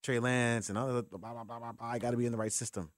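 Guy hosting sports talk radio, casual and opinionated, close dry studio mic, mid pitch. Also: fairly even intonation, quick pace, dry recording. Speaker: sports radio call-in host.

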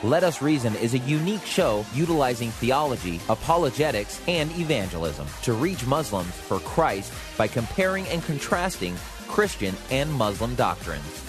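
A man's voice: medium pitch, big pitch swings, moderate pace, music in the background. A man reading a scripted radio show introduction in a polished announcer's delivery.

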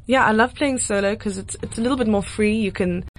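Female, 20s, medium pitch, casual radio-interview guest. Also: fairly quick. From Cape Town, South Africa. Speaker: guest singer-songwriter.

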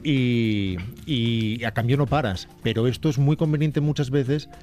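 A man, giving detailed, measured film commentary on a radio chat show. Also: medium pitch, a little mellow, faint music behind.

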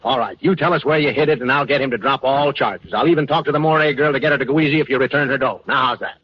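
An American actor playing an exasperated, wheedling police inspector in a 1940s radio crime drama, sarcastic and blustering, then pleading. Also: male voice, mid-range voice, fast, slightly rough, close to an everyday voice. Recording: muffled 1940s radio recording.

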